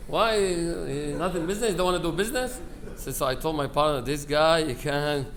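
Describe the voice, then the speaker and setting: Higher-voiced man giving a memorial speech. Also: moderate pace, a bit bright. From Aleppo, Syria. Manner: conversational storytelling, acting out quoted dialogue, broken English grammar. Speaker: rabbi giving a eulogy. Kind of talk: informal memorial speech with personal anecdotes. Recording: live speech with clear background noise.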